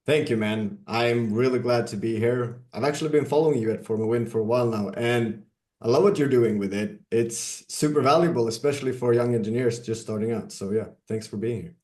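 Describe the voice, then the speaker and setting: A man from Sweden, a guest on an interview podcast, telling his career story in a measured, casual way with some fillers. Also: medium pitch, a little mellow, roomy sound. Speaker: guest, software company CEO.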